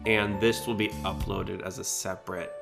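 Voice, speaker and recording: A man's voice: low voice, little pitch movement, normal speed, gravelly, over music. A man hosting a podcast, talking informally and explaining things in a casual style. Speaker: podcast host.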